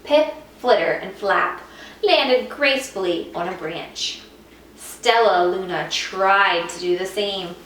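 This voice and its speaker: A woman reading a children's picture book aloud as a teacher would, with expressive, dramatic emphasis. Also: medium pitch, slow. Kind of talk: expressive scripted storybook narration. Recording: echoey room, distant mic, faint noise.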